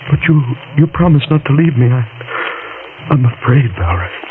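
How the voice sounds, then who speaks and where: An actor in a 1940s radio drama playing an anxious, needy injured husband, pleading and hesitant. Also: male voice, medium pitch, big pitch swings, normal speed, natural voice. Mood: pleading, afraid. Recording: noisy, tinny old radio recording.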